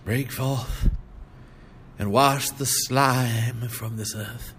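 A man's voice: mid-range voice, steady tone, extremely slow, fairly bright tone, slight background noise. A man voicing a sentimental, pious drifter, pleading and preachy, in an audiobook reading. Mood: prayerful.

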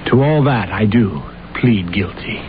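A deluded assassin in an old radio play, slow and grandiose, tinny sound. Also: male voice, on the low side, expressive, slight background noise. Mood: ingratiating, proud. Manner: grandiose, theatrical, fervent. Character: ambitious, delusional man jailed as a presidential assassin. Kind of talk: radio drama acting. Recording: tinny band-limited old radio broadcast, music behind.

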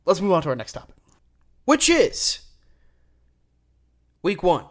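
Animated male sports host, swooping pitch. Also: unhurried. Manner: emphatic, opinionated, stretches words for stress. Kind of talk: solo sports commentary.

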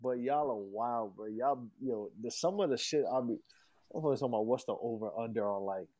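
A man's voice: mid-range voice, fairly quick, mellow. An American man co-hosting a podcast, riffing casually about sports in profane slang. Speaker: podcast co-host.

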